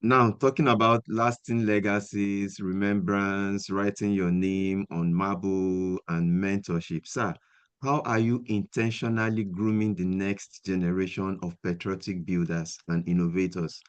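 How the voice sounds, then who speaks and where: A man interviewing a guest, asking long questions in a formal, deliberate way. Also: deep voice, steady tone, clean tone. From Nigeria.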